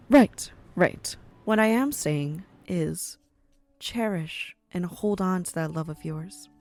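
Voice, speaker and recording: A woman's voice: lowish voice, takes it slowly, warm tone. A woman playing a courteous but commanding underworld matriarch in an audio drama, stately and formal. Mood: wistful, sincere.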